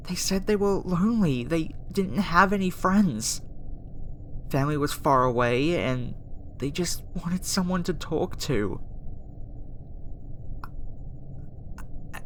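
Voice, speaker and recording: A woman voicing a lonely, tender alien girl scientist in an ASMR roleplay, hesitant, halting and apologetic. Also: a bass voice. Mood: wistful, pleading. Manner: hesitant, soft-hearted, halting. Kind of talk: ASMR roleplay audio drama. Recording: clear background noise, ambience.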